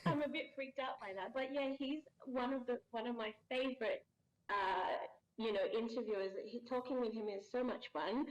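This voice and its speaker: A woman talking as a guest in a casual interview, chatty and quick to laugh. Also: on the high side, poor audio. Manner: chatty, laughs often, lively. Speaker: guest voice actor.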